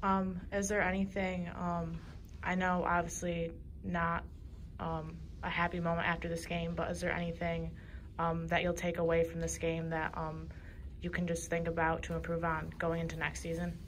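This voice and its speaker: A woman's voice: lowish voice, monotone, moderate pace, slight background noise. A woman asking a question at a press conference in a hesitant way.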